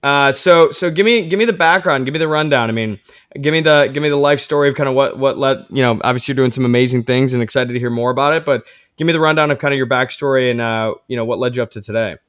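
An excitable male podcast host who gushes about his guest and circles back over his own words. His voice sits at an ordinary pitch and sounds close and dry, with no room around it. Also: fast, smooth, dry recording. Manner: enthusiastic, effusive, repeats words while rephrasing. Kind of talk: upbeat podcast interview.

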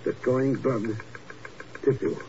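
An actor playing a cool, commanding secret agent in a 1950s radio drama, speaking in a clipped, deliberate way. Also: male voice, medium pitch, lively intonation, dark tone. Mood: grave. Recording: muffled, hissy old radio recording.

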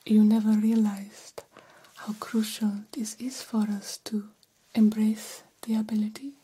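A woman voicing a formal, grandiose vampire doctor in a breathy ASMR whisper, slowly and theatrically mentoring a fledgling. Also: mid-range voice, level tone, warm tone. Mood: coaxing, instructive. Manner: slow, deliberate, formal and theatrical. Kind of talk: ASMR roleplay.

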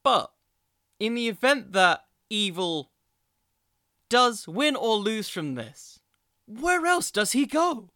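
A man's voice: high voice, very animated, slow pace, pauses often, sharp tone, no room sound, clean studio sound. A man hosting a podcast in a casual commentary style, thinking aloud in a rambling, self-deprecating way. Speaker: podcast host.

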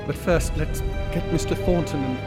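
A man playing a steady, kindly titled gentleman with a measured, authoritative manner in a radio drama. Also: fairly high pitch, natural voice. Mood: grave. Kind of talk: theatrical radio drama acting. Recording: music under the voice.

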